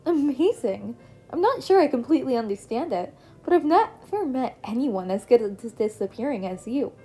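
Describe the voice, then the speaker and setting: A woman voicing an indignant teacher hero who keeps losing at chess, with a mellow voice. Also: sits high, sing-song, normal speed, slightly rough, faint music behind, voice pushed up, heavily acted. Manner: huffy, indignant. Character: easily flustered teacher hero, chess loser.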